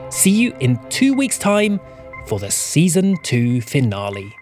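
A man's voice: a little high, huge pitch swings, a little slow. A man reading scripted podcast credits as the announcer. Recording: loud music under the voice.

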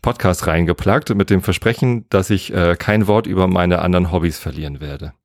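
A man, a podcast guest chatting in a relaxed, rambling way full of fillers. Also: deep voice, a little fast, dry recording, clean studio sound. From Hamburg area, northern Germany. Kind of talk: casual podcast chat.

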